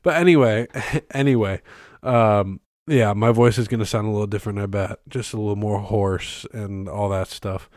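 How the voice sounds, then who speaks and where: A young man with a low, hoarse and congested voice hosts a casual solo podcast from his bedroom. He rambles through his thoughts with plenty of ums and restarts, close to the mic. The sound is dry, with almost no room echo. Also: steady tone, moderate pace, a little mellow. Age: young adult. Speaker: podcast host. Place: bedroom used as a studio. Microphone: close microphone, filmed on camera.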